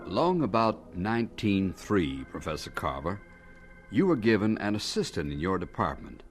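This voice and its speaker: A man's voice: deep voice, very animated, normal speed. A man narrating and interviewing in a formal, scripted radio style. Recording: organ music behind the voice. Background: organ music.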